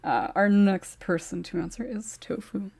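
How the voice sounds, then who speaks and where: Gravelly-voiced woman hosting a chat. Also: mid-range voice, moderate pace, darkish tone. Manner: chatty, laughs between questions. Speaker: podcast host. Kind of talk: casual panel chat.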